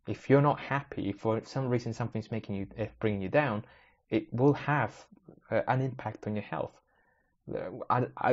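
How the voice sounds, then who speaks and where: A man's voice: lowish voice, darkish tone, highs cut off. A young man whose first language is Spanish, hosting a casual solo podcast and thinking aloud as he rambles and corrects himself. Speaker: podcast host.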